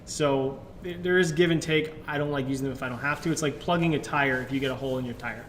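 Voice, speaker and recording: Man, rapid chatty talk, casual co-host, faint rumble. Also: fairly bright tone. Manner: quick, chatty, explanatory. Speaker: content strategist and co-host. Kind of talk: casual live Q&A chat.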